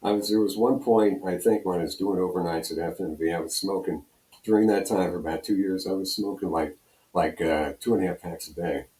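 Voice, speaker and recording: A man's voice: low voice, steady tone, brisk, warm tone. An older American man reminiscing in a casual, rambling way, telling anecdotes as an interview guest. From United States, Pennsylvania. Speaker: radio program director and on-air personality, interview guest. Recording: roomy, echoing room sound.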